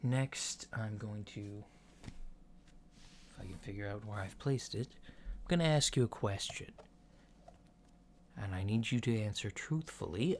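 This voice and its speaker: A soft-spoken man doing an ASMR roleplay as a calm, hesitant alien doctor, matter-of-fact and clinical. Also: fairly low pitch, takes it slowly, pauses often. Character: calm, mildly awkward alien doctor giving a checkup. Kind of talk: ASMR medical checkup roleplay. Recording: lo-fi home recording with background noise.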